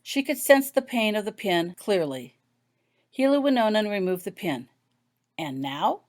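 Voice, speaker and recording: A woman's voice: mid-range voice, big pitch swings, moderate pace. A woman reading her own fiction aloud as scripted story narration.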